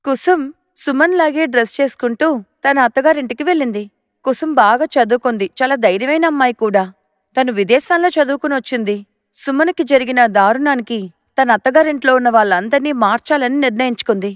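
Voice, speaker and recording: A woman's voice: high-pitched, fast, dry recording, clean studio sound. A woman reading scripted moral-story narration as the narrator.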